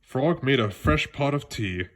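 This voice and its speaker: A man reading a storybook aloud for children in a deliberate, expressive way. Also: medium pitch. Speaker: storybook reader. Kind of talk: children's read-aloud narration.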